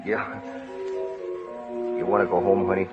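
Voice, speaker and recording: Low-voiced earnest small-town fellow. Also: male voice, completely flat delivery. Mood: tender, hopeful. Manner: folksy, colloquial, halting. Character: earnest, rough-spoken small-town man hopeful yet nursing old hurt. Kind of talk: 1930s radio drama acting. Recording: muffled vintage 1930s radio recording, music behind.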